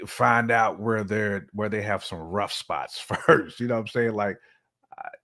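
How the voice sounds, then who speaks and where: A man's voice: lowish voice, steady tone, measured pace, bright, forceful tone. A man talking casually as a podcast co-host, in a colloquial, emphatic way that checks in with the listener. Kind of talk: casual conversational talk.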